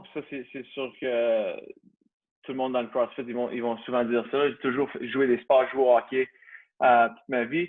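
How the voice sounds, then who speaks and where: A man in his thirties with a Canadian French accent, a guest in a casual interview, talking hesitantly with many restarts. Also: mid-range voice. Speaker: guest, CrossFit gym owner. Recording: tinny phone-like line.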